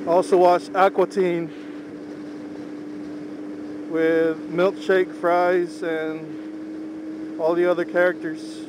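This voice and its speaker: Young male vlogger, first language Tagalog, talks slowly and evenly from the driver's seat; faint engine hum. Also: high-pitched, flat delivery. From Philippines. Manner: slow, unhurried, halting with pauses. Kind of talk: casual talk while driving. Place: inside a moving car. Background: car engine and road noise.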